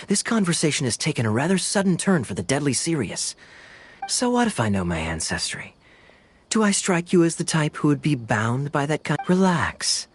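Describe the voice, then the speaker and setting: An actor playing a suave young swordsman in a video game, smooth-talking, playful and sardonic, with secrets to keep. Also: a little high, very animated, moderate pace. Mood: breezy, teasing.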